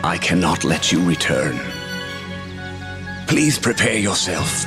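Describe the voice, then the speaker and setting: An actor playing a scheming, menacing sorcerer in a video game, theatrical and mock-polite. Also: male voice, low-pitched, sing-song, slow, loud music behind the voice, heavily acted.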